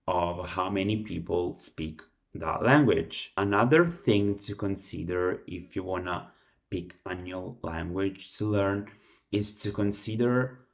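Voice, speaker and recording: A man who is a native Italian speaker with an Italian accent, giving unscripted advice to viewers, halting and often restarting or repeating words. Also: sits low, vocal fry. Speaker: language-learning video host.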